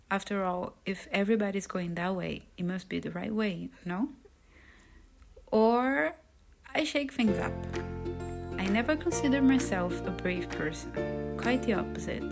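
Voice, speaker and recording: A woman in her thirties from Brazil, telling her own life story in a reflective, soft-spoken vlog, with Portuguese as her first language. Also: medium pitch. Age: 30s. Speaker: vlogger.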